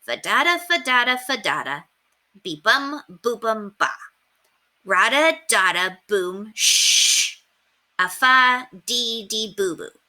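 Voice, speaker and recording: A woman voicing a brusque, proud young schoolboy in a children's storybook, deliberate and emphatic. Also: fairly high pitch, expressive, pushed and bright, pitched above the performer's own voice, full character voice. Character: brusque, proud young schoolboy builder who chants nonsense syllables.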